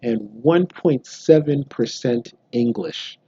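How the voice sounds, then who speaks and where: A man in his thirties from Canada speaks straight to the camera from a small bright room at home, relaxed and explanatory, with the odd um and restart. His voice is very dark and mellow with a husky edge. The sound is dry and a little dull. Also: mid-range voice, takes it slowly, no echo. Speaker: genealogy vlogger. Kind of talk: casual direct-to-camera vlog.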